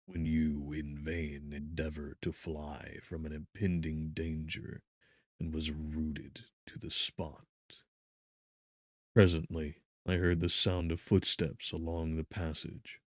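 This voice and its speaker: A man narrating a gothic horror audiobook in a measured, grave, dramatic manner. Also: a bass voice, no room sound, studio quality.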